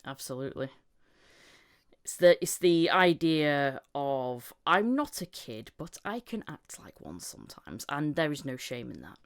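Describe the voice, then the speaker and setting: Low-voiced woman in her thirties, candid chat. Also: sing-song, measured pace, smooth. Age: 30s. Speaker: voice actor, podcast guest. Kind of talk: casual chat.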